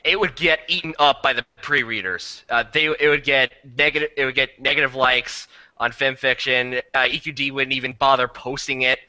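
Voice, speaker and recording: Very bright-voiced, emphatic man. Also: mid-range voice, fairly even intonation, moderate pace, low-quality recording. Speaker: podcast panelist. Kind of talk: casual opinionated discussion.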